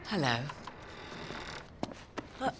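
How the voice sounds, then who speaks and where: A woman's voice: very slow, background noise. An actress playing a sly, confident, flirtatious getaway driver in her thirties, cool and teasing, in a video game. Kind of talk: cinematic video game acting. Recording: music under the dialogue. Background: background music.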